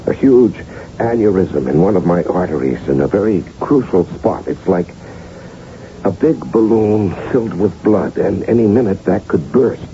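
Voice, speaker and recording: An actor playing an anxious, melodramatic American married man in a 1980s radio drama, halting and stammering yet insistent. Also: sits low, animated, dark tone. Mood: grave, insistent. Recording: dull, noisy old radio broadcast.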